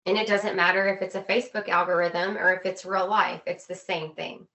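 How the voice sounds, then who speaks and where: Bright, emphatic woman explaining. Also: lowish voice, little pitch movement, fairly quick, a little hiss or hum. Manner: emphatic, repetitive phrasing. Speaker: interview guest. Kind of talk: earnest conversational explaining.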